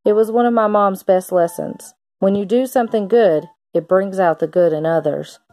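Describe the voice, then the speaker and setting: A woman's voice: medium pitch, moderate pace, dark tone. A woman reading a children's picture book aloud like a teacher, expressively acting out the characters' lines.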